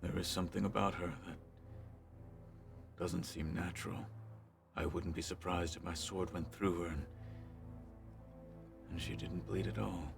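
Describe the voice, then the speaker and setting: Deep-voiced stoic warrior. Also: male voice, fairly even intonation, vocal fry, music in the background. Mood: resolute, formal. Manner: measured, formal, solemn.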